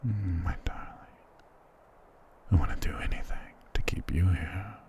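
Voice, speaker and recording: A man's voice: low-pitched, a little slow. A man playing a dominant, doting vampire lord in an intimate ASMR roleplay, speaking tenderly and possessively with formal endearments. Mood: tender, seductive.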